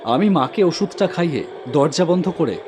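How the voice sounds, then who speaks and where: A man's voice: a little high, lively intonation, normal speed, noisy background, close to an everyday voice. A man playing a humble, devoted young auto-rickshaw driver who tells his own story earnestly in a cartoon. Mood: reflective. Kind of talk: first-person cartoon horror story narration with dialogue.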